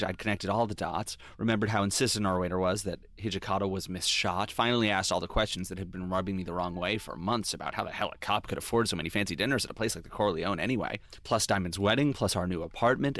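A brooding detective with a low voice, recorded close and dry. He narrates his past in wry, confessional noir style, as in an audio drama. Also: fairly bright tone, dry recording. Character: brooding male police detective recalling his past mistakes. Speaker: lead character and narrator.

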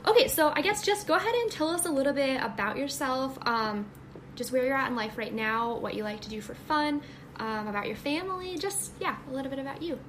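A woman's voice: high voice, expressive, a little fast, background noise. Upbeat young woman podcast host. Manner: upbeat, chatty, uses fillers. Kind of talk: casual chat.

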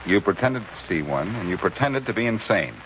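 An actor playing a quick-witted, cocky male amateur detective in a 1940s radio drama. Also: lowish voice, expressive, close to an everyday voice. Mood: brisk, confident. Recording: dull, hissy old radio recording.

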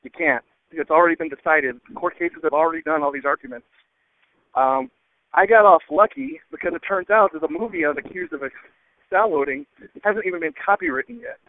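Guy leaving a rambly voicemail on a thin phone line, lots of ums, voice a bit high. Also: expressive, dry recording. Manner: hesitant, with many ums and pauses. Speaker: listener calling in. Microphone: telephone. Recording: narrow, dry phone-line sound.

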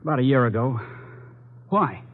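A man's voice: mid-range voice, lively intonation, moderate pace, lightly acted. An actor playing a worried, stubbornly loyal man in his twenties, earnest and guarded, in old radio drama. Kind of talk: 1940s-50s radio drama acting. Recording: dull old radio recording.

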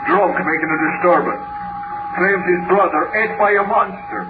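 An actor playing a brisk, impassive police dispatcher making clipped announcements in a 1930s radio drama. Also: male voice, high-pitched, over music. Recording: distant, very noisy, tinny police radio.

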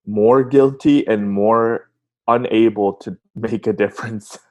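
Late-20s man, lowish voice, hesitant and rambling guest. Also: steady tone, slow, darkish tone. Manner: rambling, hesitant, self-mocking with restarts and laughs. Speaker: podcast guest. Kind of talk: casual podcast chat.

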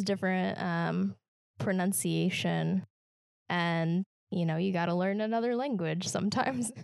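A woman from Kansas with a Midwestern American accent talks through ideas on a casual podcast, her voice a little low and gravelly. She speaks in a reflective, halting way and restarts phrases often. The recording is dry and close, with no room sound. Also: no echo. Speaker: podcast co-host.

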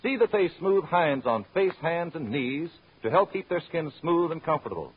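An American man with a somewhat high, husky voice reads radio advertising copy. He is direct and friendly in the manner of a 1930s announcer. The sound is dull and dated. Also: animated, moderate pace. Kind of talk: scripted 1930s radio commercial. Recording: muffled vintage radio recording.

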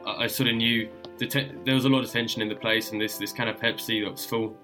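A man's voice: mid-range voice, fairly even intonation, fast, sharp tone, soft background music. A young man guest explorer telling stories in a casual interview, hesitant with frequent ums and repeated words. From born in UK, raised in Germany and Australia. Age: young adult.

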